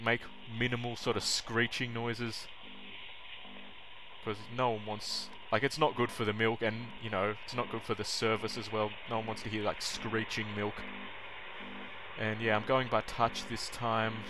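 Monotone young man, casual vlogger. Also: on the low side. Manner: informal, self-deprecating. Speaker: vlogger demonstrating how to make coffee. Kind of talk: casual how-to narration. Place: small home kitchen, indoors. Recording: noisy kitchen recording with clicks and clatter.

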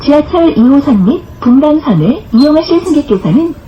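A woman's voice: high-pitched, animated, fairly quick, muffled recording, faint music behind. A woman reading scripted bus stop announcements in a formal, carefully enunciated way, recorded inside a bus. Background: bus interior ambience.

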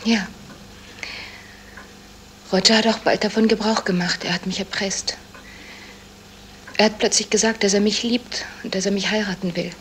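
A woman's voice: mid-range voice, slight background noise, lightly acted. An actress playing a troubled young woman in a 1970s radio crime drama, speaking earnestly and hesitantly. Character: troubled, confessing young woman, blackmailed heiress.

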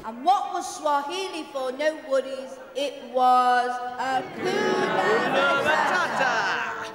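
Woman, slow high-voiced quiz announcer in a drama, echoey hall with loud music and crowd. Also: expressive. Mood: suspenseful. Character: pub-quiz hostess announcing results with slow suspense. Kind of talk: drawn-out announcing.

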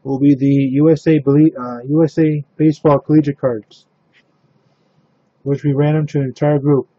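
A man hosting a live trading card break, announcing cards and teams in a steady, matter-of-fact way. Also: fairly high pitch, normal speed, no echo, muffled recording.

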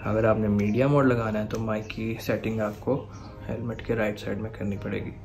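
A man's voice: fairly low pitch, flat delivery, brisk, creaky, darkish tone. A young man with a Pakistani Urdu accent gives a casual how-to on his motorcycle camera and mic setup, checking in often with the viewer. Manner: explanatory, keeps asking "theek hai" to check in. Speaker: motovlogger. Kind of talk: casual gear tutorial.

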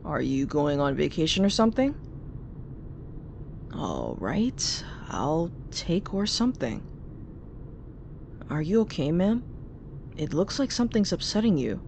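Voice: medium pitch, sing-song, measured pace, gravelly, long pauses, darkish tone. Performer as cab driver, casual, considerate, swinging intonation, audio drama, faint vehicle noise. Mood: concerned. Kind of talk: audio roleplay acting.

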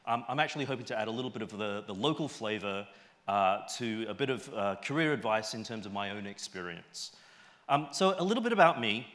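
A man's voice: fairly low pitch, expressive, a little fast, fairly bright tone. A middle-aged man with an Australian accent giving an informal conference talk in a conversational way, often checking in with short tag questions. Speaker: conference speaker. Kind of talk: informal stage presentation. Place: large auditorium stage, indoors.

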